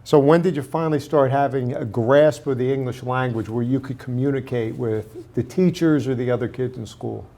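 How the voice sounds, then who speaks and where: A male host leads the interview, asking question after question in a steady, prompting way. He keeps the conversation moving and draws his guest out. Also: medium pitch, moderate pace, few pauses. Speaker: host, managing partner of a law firm. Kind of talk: interview hosting.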